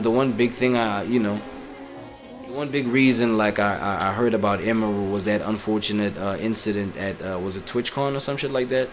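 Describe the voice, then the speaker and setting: A man streaming and reacting to clips, talking casually and rambling with lots of fillers. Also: on the low side. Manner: laid-back, rambling with constant fillers.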